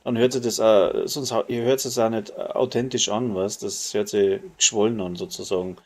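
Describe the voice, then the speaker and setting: A man from Bavaria with a Bavarian accent, chatting casually and colloquially as he streams a video game, softening his dialect toward standard German. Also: fairly low pitch, steady tone, moderate pace. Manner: colloquial, dialect-tinged, mild swearing. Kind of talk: casual chat while gaming.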